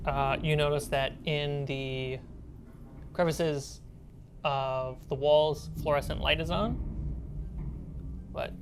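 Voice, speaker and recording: A woman's voice: low-pitched, steady tone, slow pace, pauses often, background noise. A woman running a tabletop game as game master, describing scenes in a casual, improvised way.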